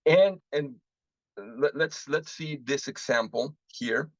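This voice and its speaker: A man from Mexico, presenting a live webinar on interpreting and asking the audience lively rhetorical questions. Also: medium pitch, takes it slowly, vocal fry, no echo. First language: Spanish. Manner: animated teaching, frequent rhetorical questions, switches into Spanish examples. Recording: muffled lo-fi dry webinar audio.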